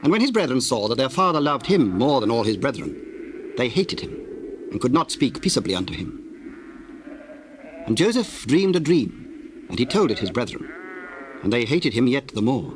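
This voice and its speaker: A man's voice: mid-range voice, big pitch swings, noisy background. Solemn male scripture narrator. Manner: solemn, measured.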